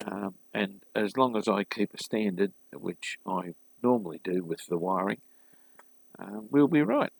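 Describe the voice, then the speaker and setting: A man giving a casual, unscripted hands-on repair walkthrough, thinking aloud haltingly and addressing viewers informally as a hobbyist host. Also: on the low side, expressive, gravelly, slightly rough, no echo, rough recording. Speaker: hobbyist host of a vintage radio restoration series.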